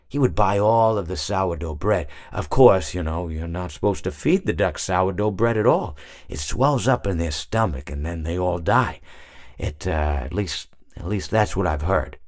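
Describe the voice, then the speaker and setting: Rambling old hippo, lilting and low. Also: male voice, darkish tone, no room sound, studio quality. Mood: wistful rambling. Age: older. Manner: rambling, hesitant, absent-minded, self-correcting. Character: rambling, mild-mannered elderly hippopotamus animatronic storyteller. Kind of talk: character monologue for a video game.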